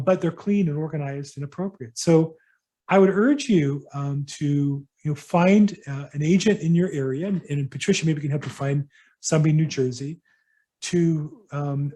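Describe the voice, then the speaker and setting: A middle-aged man with a mellow, somewhat high voice answers questions from a workshop audience. He speaks casually and haltingly, with frequent ums and restarts. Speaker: real estate broker hosting a workshop. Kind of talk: casual advice to attendees.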